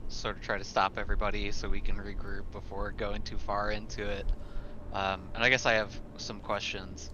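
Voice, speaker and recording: A man speaks casually as a player in a roleplaying session. His voice sits a little low and he feels his way through questions. Background noise is clearly audible. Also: little pitch movement, normal speed, highs cut off. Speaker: player in a tabletop game. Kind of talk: casual tabletop game chat.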